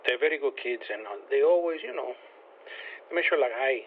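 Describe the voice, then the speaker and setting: A man's voice: medium pitch, lively intonation, brisk, slight background noise. An older man speaks with a Spanish accent over a thin, tinny phone line. He talks in a casual, hesitant way, politely, and often doubles back on his words. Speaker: call recipient.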